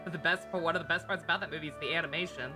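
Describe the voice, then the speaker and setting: A man's voice: fairly high pitch, very fast. A man streaming a video game, chatting informally and thinking aloud in a rambling way. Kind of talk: casual livestream chat over gameplay. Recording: game music and dialogue behind.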